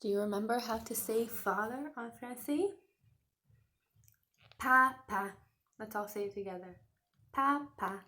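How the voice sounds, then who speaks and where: A woman's voice: fairly high pitch, a little slow, long pauses, pushed and bright, slight background noise. A woman teacher giving a read-aloud lesson for children, coaxing young learners with questions. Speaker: teacher reading a picture book to children.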